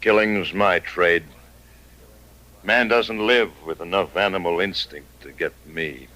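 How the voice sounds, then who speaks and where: A man's voice: low voice, slow, pushed and bright, full character voice. An actor playing a cold, arrogant hired gunman in an old-time radio western, speaking tersely with cool menace. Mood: quiet menace.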